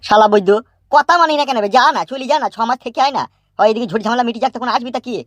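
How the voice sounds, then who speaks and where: A man acting fretful, fast-babbling old village father. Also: very high voice, fairly bright tone, no room sound, clean studio sound. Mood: exasperated scolding. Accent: rural West Bengal Bengali. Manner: nagging, fretful, repeats words. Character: anxious, scolding, foul-mouthed old village father. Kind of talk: comic cartoon dubbing.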